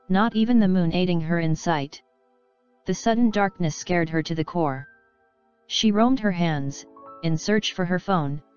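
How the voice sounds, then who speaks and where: A woman with a fairly low voice narrates a children's bedtime story. She reads from a script as the storyteller, and faint music plays behind her. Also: darkish tone, clear, resonant tone.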